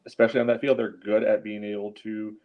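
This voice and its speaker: A man with a fairly low voice talks through sports tactics on a podcast. He explains casually and conversationally. The audio is a little dull and lo-fi. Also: flat delivery, quick pace. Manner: explanatory, peppered with "you know". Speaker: soccer podcast panelist.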